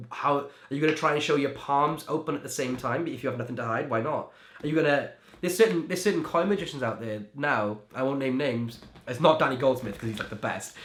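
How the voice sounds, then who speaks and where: A man's voice: mid-range voice, a bit bright. Chatty young man from Wales. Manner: chatty, lots of "like" and restarts. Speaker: magician and creator. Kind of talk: interview answers. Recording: echoey room.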